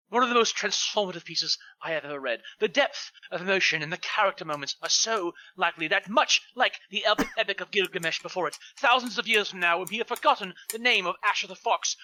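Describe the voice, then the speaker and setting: A man's voice: sits high, sharp tone, poor audio. A man joking around and giving mock-serious comedic commentary as part of a fan fiction reading group. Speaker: fan fiction podcast reader.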